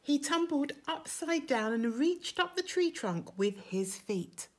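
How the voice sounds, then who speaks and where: A woman, reading a picture book to young children in an expressive, clearly phrased way. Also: sits high, slow. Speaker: school staff member reading a story. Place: school classrooms and office, indoors.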